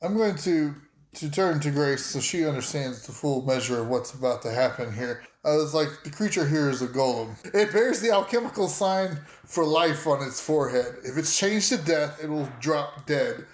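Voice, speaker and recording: Higher-voiced man gaming in an echoey room. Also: normal speed. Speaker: tabletop game player. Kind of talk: casual game play, slipping into character speech. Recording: roomy, echoing sound with background noise.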